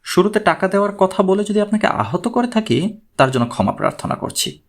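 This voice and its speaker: A man voicing a polite, self-assured male professor in an audiobook, measured and orderly in his speech. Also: high voice, very animated, voice pushed up. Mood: composed, polite. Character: formal, self-assured male mathematics professor seeking a consultation.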